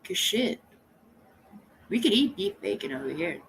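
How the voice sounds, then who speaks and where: Woman chatting on her stream, joking and cussing, bouncy pitch, some faint noise behind. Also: unhurried, vocal fry. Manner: animated, joking, swears casually. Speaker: livestream host. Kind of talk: casual livestream chat.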